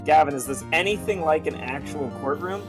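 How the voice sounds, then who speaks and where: A man's voice: on the high side, fairly quick. Jokey male game streamer over music. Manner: jokey, hesitant, sweary. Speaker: game streamer playing along. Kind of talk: casual group game play with reading aloud. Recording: music behind the voice.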